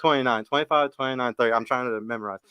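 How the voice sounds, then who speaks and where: A man's voice: medium pitch, clean tone, dry recording, lo-fi sound. A man hosting a casual dating podcast, hyped up and cursing freely. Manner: brisk, hype, swears freely. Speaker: dating show host.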